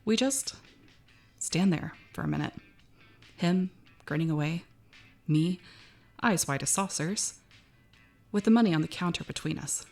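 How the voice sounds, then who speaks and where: An uneasy young female cashier, played by a woman, narrates an audio drama in a slightly low voice. She is wary and wry, telling it conversationally as if confiding, with music present. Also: darkish tone. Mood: tense curiosity. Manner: halting, wary, conversational first-person storytelling.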